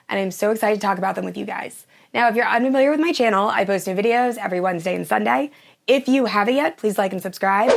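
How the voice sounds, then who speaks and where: A young woman hosting a casual book vlog, her voice hoarse and nearly gone after the flu, gushing about the books she plans to read. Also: medium pitch. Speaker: book channel host.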